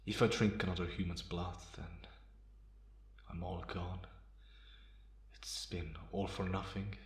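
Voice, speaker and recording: A man playing a bitter, guarded, centuries-old vampire in a slow ASMR roleplay monologue with measured pauses. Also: sits low, little pitch movement. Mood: cold, resigned. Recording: roomy, echoing sound.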